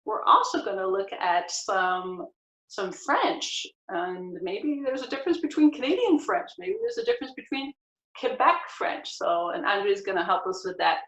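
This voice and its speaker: An American woman in her forties hosting a casual video-call interview, asking animated, chatty questions. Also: medium pitch, moderate pace. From United States. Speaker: host. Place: home room with bookshelf. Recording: echoey, dull video-call audio.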